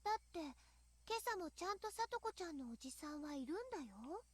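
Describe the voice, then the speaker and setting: Visual novel schoolgirl: tiny high voice, quick, eerie, insinuating. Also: very animated. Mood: eerie probing. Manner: creepy, insinuating, repeating sentence endings. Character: eerie teenage schoolgirl, thinly smiling, dismissive. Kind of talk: Japanese visual novel voice acting.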